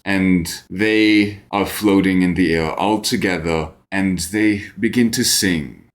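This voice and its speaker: A man narrating a tabletop fantasy game as game master, in a dramatic storytelling manner. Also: sits low.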